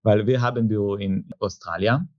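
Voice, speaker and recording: A man's voice: lowish voice, moderate pace, a little mellow, no echo. Earnest man, dull dry sound. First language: not German; father is German. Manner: earnest, repeats words, searches for phrasing. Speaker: insurance company executive guest. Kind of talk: interview answers mixing English and German. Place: office in Hamburg.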